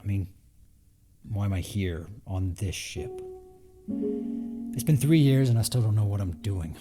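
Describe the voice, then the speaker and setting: A man's voice: on the low side, sing-song, a little slow, husky, heavily acted. A man acting a weary, self-doubting spaceship crewman in an audio drama, downbeat and unsure in manner. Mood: downcast, reflective. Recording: loud guitar music underneath.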